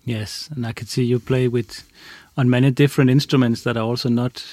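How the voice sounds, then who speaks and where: A man's voice: mid-range voice, flat delivery, fairly quick, darkish tone, no room sound. Man interviewing, close dry sound. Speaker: podcast host, interviewer. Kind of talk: interview questions.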